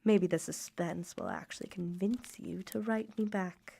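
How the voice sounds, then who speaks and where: An actress playing a wounded, reproachful young Victorian mother in a radio drama, reading letters in formal, measured, emotive diction. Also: fairly low pitch, vocal fry, dark tone, breathy edge, no echo, full character voice. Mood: bitter, hurt.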